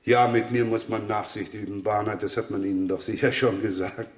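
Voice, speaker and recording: A man's voice: fairly low pitch, steady tone, fairly quick, natural voice. A man playing a jaded, teasing police inspector in a radio crime drama, muttering casual sarcastic asides. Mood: dry, sarcastic. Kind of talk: 1970s German radio crime drama acting. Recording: echoey room, clear background noise.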